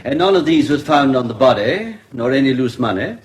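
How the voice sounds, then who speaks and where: A man playing a courteous, formal English coroner presiding over an inquest in a radio drama, with measured courtroom diction. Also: medium pitch, lively intonation, a little hiss or hum, lightly acted. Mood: courteous, probing.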